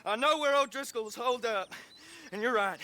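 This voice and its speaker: A man's voice: very high-pitched, a little fast, bright, forceful tone, slight background noise. An actor playing a frightened young male outlaw held captive in a video game, pleading and nervous.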